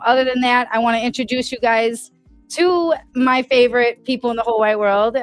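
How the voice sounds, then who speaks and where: A woman hosts a laid-back podcast, talking in loose, winding questions padded with fillers. Her voice sits dry and close to the mic, with faint music playing softly underneath. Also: on the high side, smooth, no echo. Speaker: podcast host. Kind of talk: casual podcast chat.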